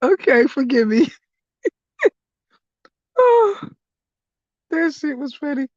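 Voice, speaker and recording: Brief: hoarse woman, rowdy live-stream host, higher voice, dull dry lo-fi audio. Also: very animated, takes it slowly, no echo. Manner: loud, laughing, profane hype commentary. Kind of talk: casual live-stream chat.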